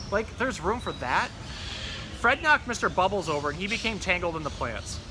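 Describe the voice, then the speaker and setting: A man voicing an exasperated, fussy pet goldfish in a children's storybook read-aloud, with dry, grumbling delivery. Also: sits high. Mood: exasperated, frazzled.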